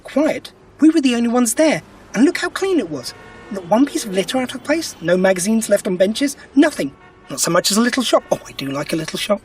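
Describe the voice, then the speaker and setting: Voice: mid-range voice, big pitch swings, a little fast, distant mic, slight background noise, natural voice. An actor playing a quirky, wry time-travelling alien adventurer in an audio drama, chatty and given to grumbling. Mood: wry, suspicious.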